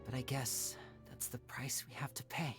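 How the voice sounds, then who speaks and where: An actor playing a young male magician with secrets, speaking in a soft, reflective, measured game-dialogue style. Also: medium pitch, steady tone, in a whisper, close to an everyday voice. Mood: melancholy. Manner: soft-spoken, reflective, measured theatrical delivery. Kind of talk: scripted dramatic game dialogue. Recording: music playing under the voice.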